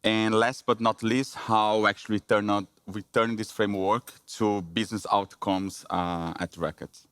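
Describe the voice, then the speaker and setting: Man, Brazilian accent, conference presenter, lowish voice, dry close sound, hesitant with fillers. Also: fairly even intonation, fairly bright tone, clean tone, dry recording. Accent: Brazilian Portuguese. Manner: halting, many fillers and restarts.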